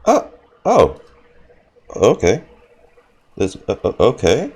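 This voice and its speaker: A man's voice: lowish voice, wildly swooping pitch, slow pace, a little mellow, husky. A man giving casual, sarcastic and sweary reaction commentary as he streams a video game. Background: game music.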